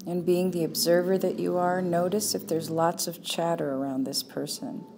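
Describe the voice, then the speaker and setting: A woman leading a guided meditation as a teacher, speaking calmly and without hurry, leaving long pauses. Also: on the low side, background noise. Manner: calm, unhurried, with long pauses.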